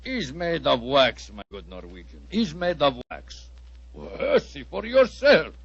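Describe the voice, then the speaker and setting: A man's voice: on the high side, very animated, a little slow, vocal fry, breathy edge, heavily acted. An actor playing a sly, boisterous Russian man with a Russian accent and mockingly courteous delivery in 1940s radio style. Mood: sly, defiant. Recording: dull, muffled 1940s radio recording.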